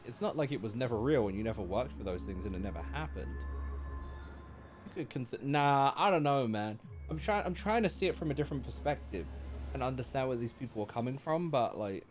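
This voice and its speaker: A man streaming live, giving casual, slangy and joking commentary as he reacts to a game. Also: medium pitch. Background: game music.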